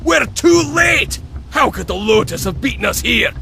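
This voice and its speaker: A woman playing a feisty, brash wolf tamer in a video game cutscene, blunt and excitable. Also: a little high, moderate pace, gravelly, a little hiss or hum, exaggerated voice. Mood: alarmed. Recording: music behind the voice.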